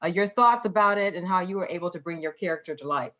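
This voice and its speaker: A woman's voice: lowish voice, fast talker, fairly bright tone. A woman hosting a conversational interview in an informal, encouraging way. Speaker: radio show host interviewing guests.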